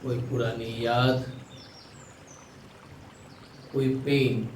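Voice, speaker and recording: A man with an Indian accent leading a guided meditation, giving calm, soothing instructions with long pauses. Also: medium pitch. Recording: roomy echo, distant mic, faint noise.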